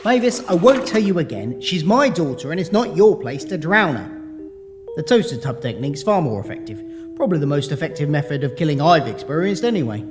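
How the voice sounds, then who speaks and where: Man playing a lively, grumbling ghost dad. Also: a little high, fairly quick. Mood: wry indignation. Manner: matey, indignant, wry. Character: blithe, grumbling middle-aged ghost dad. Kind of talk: sci-fi audio drama. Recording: reverberant, music behind.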